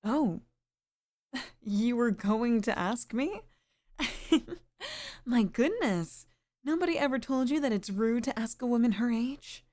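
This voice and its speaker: A woman voicing a sweet, middle-aged single mother in an intimate ASMR roleplay, speaking softly and flirtatiously with sighs and pauses. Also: a little high, big pitch swings. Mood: teasing, flirtatious.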